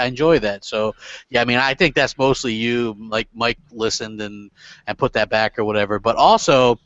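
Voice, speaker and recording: A man's voice: medium pitch, fairly bright tone, dry recording, lo-fi sound. A man hosting a casual gaming podcast, rambling with lots of fillers and restarts and casual swearing. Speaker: podcast host.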